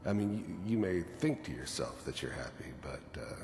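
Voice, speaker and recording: An actor playing a weary, guilt-ridden hardened killer in a video game, gravelly, brooding and matter-of-fact as he reminisces. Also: low-pitched, sing-song, moderate pace, full character voice. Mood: bitter, wistful. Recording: echoey, noisy game audio.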